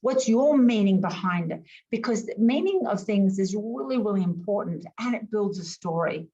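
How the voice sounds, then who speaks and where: A woman's voice: mid-range voice, moderate pace. Woman teaching a class on a video call, first languages greek and arabic, punchy and emphatic, kinda dull audio. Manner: lively, emphatic, rhetorical questions. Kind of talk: online class talk.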